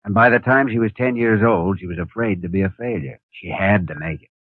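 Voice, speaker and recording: An actor playing a calm, earnest young male doctor who explains in measured clinical terms, in a 1950s radio drama. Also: fairly low pitch, slightly rough, no room sound. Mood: explaining. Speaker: hospital doctor. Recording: tinny old 1950 radio broadcast.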